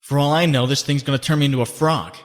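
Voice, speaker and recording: A man's voice: mid-range voice, steady tone, quick pace, natural voice. A man playing a young superhero who narrates his inner thoughts in a comic book dub, reflective and confiding. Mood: uneasy, reflective. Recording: long cavernous reverb.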